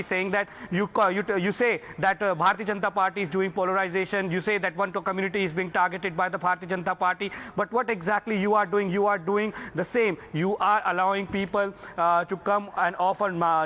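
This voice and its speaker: Hesitant high-voiced Indian male reporter. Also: dull audio. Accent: Indian English. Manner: hesitant, many fillers and restarts. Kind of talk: live news report.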